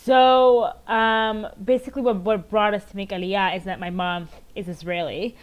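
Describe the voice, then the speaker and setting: A woman in her thirties, originally from Maryland, speaking as an interview guest over a remote call from home. She tells her story earnestly and pauses often with "um". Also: mid-range voice, steady tone, clean tone. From United States, Maryland. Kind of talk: conversational interview answers.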